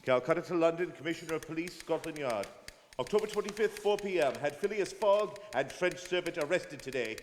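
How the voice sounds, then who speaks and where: Echoey hall, man acting serious detective narrating old adventure radio play, highish voice, dramatic n formal. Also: expressive, natural voice. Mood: brisk dictation. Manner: formal, suspenseful, deliberate. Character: grave, watchful detective recounting a journey. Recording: reverberant hall with faint noise.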